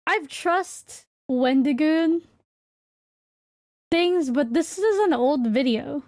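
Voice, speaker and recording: A woman's voice: high voice, expressive, slow pace, a little mellow, no echo, clean studio sound. A woman livestreamer chatting casually with viewers, thinking aloud in a hesitant, rambling way. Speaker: livestreamer and VTuber host.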